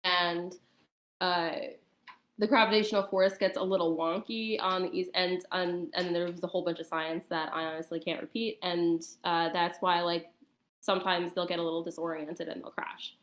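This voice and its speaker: A woman chatting casually and explaining things in a hesitant, halting way, with a roomy echo on the recording. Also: fairly low pitch, flat delivery. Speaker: guest on a talk stream. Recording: roomy, echoing sound.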